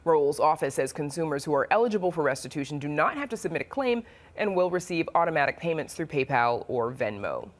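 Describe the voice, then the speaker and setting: A woman with an American accent reading scripted news and hosting studio interviews in a crisp, measured broadcast delivery. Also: sits low, big pitch swings. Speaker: news anchor and host.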